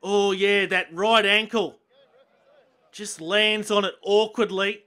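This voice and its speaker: A high-pitched Australian man calls football highlights in a very bright, sharp voice. He keeps a steady commentary flow and jumps up in energy when the ball nears the goal. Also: takes it slowly. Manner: excitable bursts at chances and goals. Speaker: match commentator.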